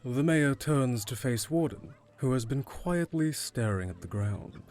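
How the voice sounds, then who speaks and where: A man's voice: mid-range voice, expressive, unhurried, darkish tone, faint music behind, natural voice. A man reading scripted narration for an audio drama.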